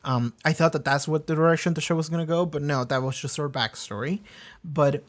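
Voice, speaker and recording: Man rambling casually, higher voice. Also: brisk. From Argentina. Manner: loose, swearing, many fillers and restarts. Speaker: reaction video host.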